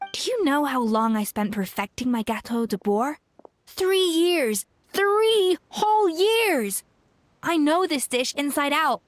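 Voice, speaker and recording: High-voiced, proud, demanding chef. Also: female voice, no echo. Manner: forceful, scolding, emphatic. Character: proud, demanding former head chef woman, blunt mentor. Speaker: voice actor. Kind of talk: video game cutscene acting.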